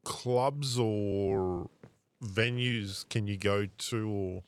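A man's voice: on the low side, drawn-out words, smooth, dry recording. A man with an Australian accent hosting a podcast interview, giving short affirmations and asking rambling questions. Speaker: podcast host.

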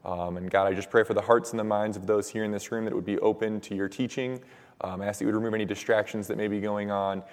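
A young man with a hoarse, raspy voice preaching and praying in casual, earnest words with many fillers. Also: on the low side, fairly even intonation, fast. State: hoarse from shouting at camp. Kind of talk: sermon with prayer. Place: church hall. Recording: long reverb of a church hall.

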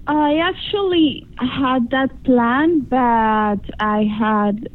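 A woman's voice: high voice, drawn-out words. Woman on a tinny phone line. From Colombian, Venezuelan and Japanese background. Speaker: phone-in guest. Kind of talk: casual chat.

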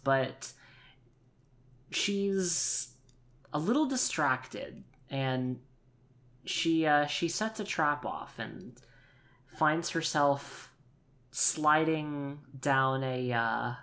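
A man improvising a tabletop game story in a halting, deliberate and playful way. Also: a little high, fairly bright tone. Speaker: player in an actual play podcast.

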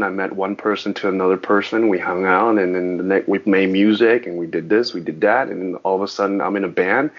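A man whose first language was Mandarin talks in a loose, rambling way, stumbling over restarts. His voice sits low. The podcast audio sounds dull and lo-fi. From Southern California. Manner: rambling, frequent restarts, animated on sports. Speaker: guest, actor and musician. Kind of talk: casual interview chat.

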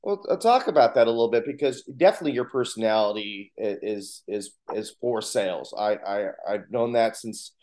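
A man's voice: lowish voice, moderate pace, hardly any pauses, darkish tone. A man hosting a casual interview, asking friendly, rambling questions full of hesitations. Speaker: interview host.

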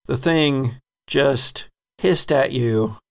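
A man narrating as game master of a tabletop horror game, describing scenes at an unhurried pace. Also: medium pitch, vocal fry.

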